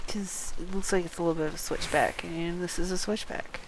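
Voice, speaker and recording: A woman's voice: lowish voice, steady tone, brisk, noisy background. A woman streaming a game walkthrough, reading quest text aloud and casually muttering to herself as she plays.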